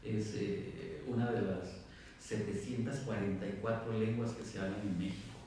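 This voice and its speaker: A man from southern Mexico whose first language is Zapotec, speaking as a writer on a round-table panel in a measured, explanatory way. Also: on the low side, fairly even intonation, dark tone. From Isthmus of Tehuantepec, Mexico. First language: Diidxazá (Zapotec). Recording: echoey room, far from mic, faint noise.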